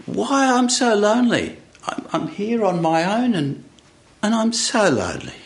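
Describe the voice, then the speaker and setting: A kindly, lonely woman from a live folk tale , as a male storyteller puts her on. Her voice sits high and lifts freely, curious and welcoming. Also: sing-song, a little slow, creaky, slightly rough, exaggerated voice.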